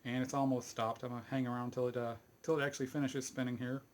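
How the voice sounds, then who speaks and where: A man's voice: medium pitch, level tone, fast. Folksy Texan man demonstrating a gadget. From Texas. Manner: rambling, folksy, chuckles often, many fillers. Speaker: YouTube hobbyist demonstrating fidget spinner cleaning. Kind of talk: casual how-to demonstration.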